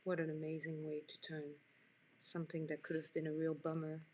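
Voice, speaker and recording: A woman's voice: sits low, completely flat delivery, brisk, darkish tone, a little hiss or hum. A woman in her forties from France, chatting casually with viewers as she draws in a live online class at home. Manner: chatty, answers viewer comments. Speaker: art instructor hosting a live stream. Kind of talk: casual chat while drawing. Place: home room, indoors.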